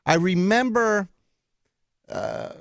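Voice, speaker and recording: A man hosting a radio show, asking questions in a halting way with many restarts and fillers. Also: high-pitched, fairly bright tone, no echo.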